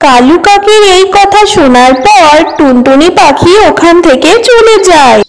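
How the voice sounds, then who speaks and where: Female narrator for children's cartoon tales, high voice with very lively intonation. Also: a little slow, low-quality recording. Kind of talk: scripted children's story narration.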